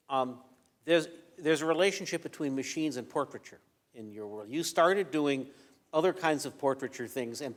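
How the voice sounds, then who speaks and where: A man's voice: mid-range voice, long reverb tail. A man moderating an onstage fireside conversation, chatty and often restarting his sentences. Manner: conversational, repeats words when starting sentences. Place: event venue with audience.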